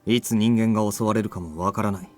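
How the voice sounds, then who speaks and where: An actor playing a gruff, curt but caring young man in a visual novel. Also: on the low side, fairly even intonation, racing, darkish tone, soft background music, lightly acted. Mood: serious. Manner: blunt, curt. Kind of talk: Japanese visual novel voice acting.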